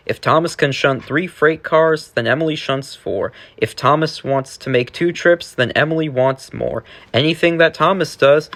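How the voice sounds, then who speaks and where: A man reading a rhyming children's storybook aloud in an expressive, sing-song way. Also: a little high. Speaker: storybook reader.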